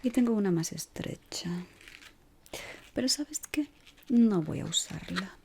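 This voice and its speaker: A woman from whispers softly and without hurry in an ASMR roleplay, gently giving instructions as a decorator guiding a newcomer. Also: mid-range voice, animated, gravelly, a little hiss or hum. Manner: soft, unhurried, gently instructing.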